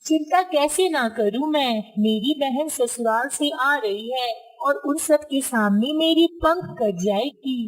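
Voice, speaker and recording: Fretful male cartoon pigeon, acted by a woman, high voice, always complaining. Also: animated, slow pace, hardly any pauses, poor audio. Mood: worried, upset.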